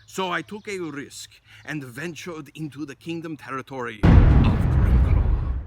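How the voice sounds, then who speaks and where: A man narrating a children's story as an expressive storyteller. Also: mid-range voice.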